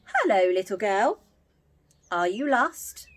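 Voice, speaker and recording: A woman's voice: medium pitch, big pitch swings, slow. A woman voicing a sly, hungry big bad wolf from a children's storybook, coaxing and drawing out the words.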